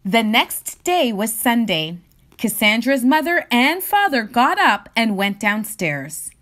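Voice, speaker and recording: A woman reading a children's story aloud in expressive narration, with deliberate, theatrical emphasis. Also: a little high.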